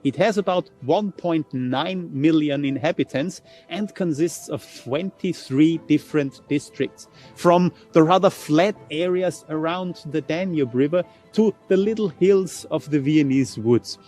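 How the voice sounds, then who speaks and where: A man with a slightly high voice speaks as a tour guide, explaining things in an informative, presentational way. Faint music plays behind him. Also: unhurried, hardly any pauses, darkish tone. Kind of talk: guided city tour narration.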